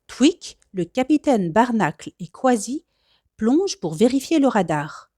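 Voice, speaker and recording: A woman narrates a children's picture book with lots of expression. Her voice is on the high side and moves in lively swings. The sound is close and dry. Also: a little slow, dry recording. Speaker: storybook reader.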